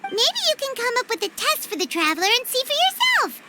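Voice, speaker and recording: Squeaky, very high childlike fairy companion. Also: female voice, huge pitch swings, brisk, heavy background noise, exaggerated voice. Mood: chirpy, cheeky. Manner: refers to self in third person, childlike exclamations. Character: tiny floating fairy companion, childlike, chirpy and cheeky. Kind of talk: scripted video game dialogue. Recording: music behind the voice.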